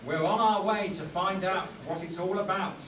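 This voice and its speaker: A man's voice: high-pitched, fairly even intonation, moderate pace, natural voice. A man playing a composed, commanding adventure hero in a live spoof radio play. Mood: calm, commanding. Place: echoey convention room with audience. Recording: echoey hall, distant mic, audience noise.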